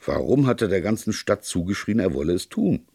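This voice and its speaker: A man's voice: deep voice, big pitch swings, fairly quick, gravelly, mellow, breathy edge, no echo, studio quality, pitched above the performer's own voice, full character voice. A man voicing a blunt, puzzled police inspector who questions things emphatically in an audiobook story. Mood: puzzled, exasperated.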